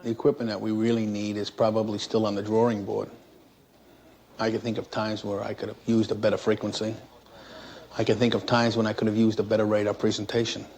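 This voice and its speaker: Low, mellow-voiced man in an old interview. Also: completely flat delivery, brisk. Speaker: air traffic controller being interviewed. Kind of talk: recounting a work experience in an interview. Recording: noisy 1960s interview recording.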